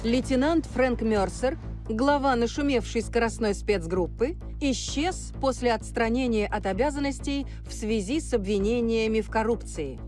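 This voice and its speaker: An actress playing a formal, crisp female TV news reporter delivering a grave bulletin in a video game. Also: a little high, moderate pace, a little mellow, soft background music, close to an everyday voice.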